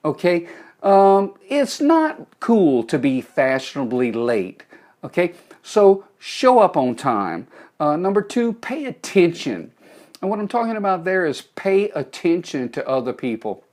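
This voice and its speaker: A man's voice: high-pitched, big pitch swings, measured pace, a little mellow. A middle-aged man with a Cajun accent, hosting a live phone stream, giving a folksy motivational talk and greeting viewers by name. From South Louisiana.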